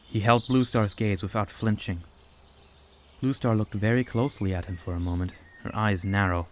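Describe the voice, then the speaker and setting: A man's voice: on the low side, moderate pace, a little hiss or hum. A man reading scripted story narration as an audiobook narrator.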